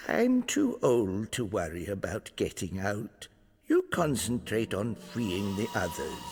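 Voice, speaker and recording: An actor playing a cheerful old male prisoner, proud of surviving torture, with a creaky voice and jaunty gallows humour in a video game. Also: on the low side, huge pitch swings, slow, darkish tone, full character voice. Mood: jaunty, kindly. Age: older. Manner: jaunty, darkly humorous. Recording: long reverb, music underneath.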